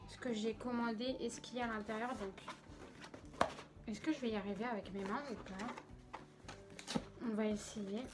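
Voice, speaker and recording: A young woman with a slight cold in her throat, chatting casually and giggling as she unboxes makeup. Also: on the high side. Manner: chatty, filler-heavy, giggly. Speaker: beauty vlogger.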